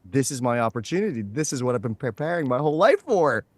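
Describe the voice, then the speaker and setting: A man's voice: mid-range voice, sing-song, fast talker, no echo. A man chatting casually as an interview guest, playful and a touch theatrical in how he puts things.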